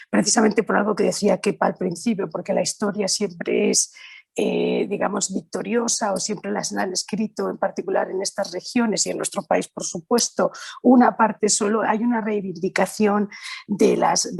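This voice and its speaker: A woman's voice: medium pitch, gravelly, darkish tone, slightly rough, no room sound. A middle-aged woman from Valencia with a Spain Spanish accent, giving an informal conference talk as a lawyer and panelist, searching for her phrasing and correcting herself. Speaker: human rights lawyer, panel speaker.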